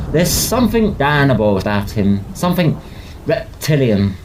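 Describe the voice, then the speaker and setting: Victorian student rower, young, British, gossipy. Very expressive, clipped period drama delivery, faint background noise. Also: male voice, medium pitch. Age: young adult. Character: hearty, opinionated, gossipy Victorian student oarsman. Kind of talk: audio drama acting.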